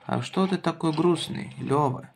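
A man with a creaky, gravelly voice talks playfully to a child in short, simple phrases. He keeps asking questions and encouraging the child along. The home recording carries faint noise and the clicks of toys. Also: a little high, unhurried. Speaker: adult playing with and questioning a child in a toy car show. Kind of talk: casual playful chat with a child. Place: indoors at a wooden table.

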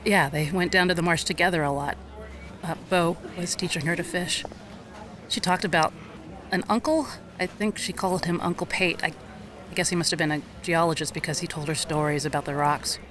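An actress playing a gentle, remorseful woman in an audio drama, speaking in a halting, reflective way. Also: fairly low pitch, fairly even intonation, noisy background, natural voice. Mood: wistful, regretful. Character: remorseful woman recalling a sick little girl, gentle. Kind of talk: audio drama acting, conversational.

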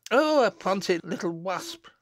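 A sour, scolding village mother from an audiobook tale, acted out by a man. The voice is pushed up high and swoops about in testy rustic dialect, harsh in temper and quick to chide. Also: big pitch swings, no echo, clean studio sound, full character voice.

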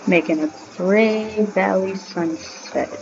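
A woman's voice: medium pitch, slow, creaky. A woman gamer chatting casually to herself as she plays. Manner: talking to self while playing. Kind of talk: casual gameplay chat. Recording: dull sound, game music behind. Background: game music and sounds.

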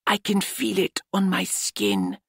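A man voicing a gentle, earnest young male misfit in an audiobook, precise, formal and apologetic. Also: high voice, big pitch swings, slow, creaky, husky, no room sound, studio quality, voice pushed up, full character voice. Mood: uneasy. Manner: precise, formal, overly polite.

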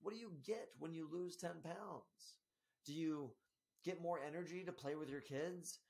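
Man, 30s, solo podcast host, slightly scratchy, higher-set voice, animated office delivery. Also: unhurried, creaky. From Spokane area, US. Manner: energetic, gestures a lot, frequent tag "right?". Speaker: podcast host and health coach. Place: small office in front of a banner.

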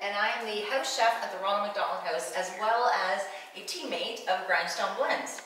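A middle-aged woman chef talks through a cooking demo in a bright, forward voice that is chatty and playful. The sound comes from a distance in a big kitchen with heavy echo. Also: medium pitch, normal speed, slight background noise. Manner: chatty, self-deprecating, playful. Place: large home kitchen, indoors. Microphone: camera mic from a distance. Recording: echoey room, voice from far off.

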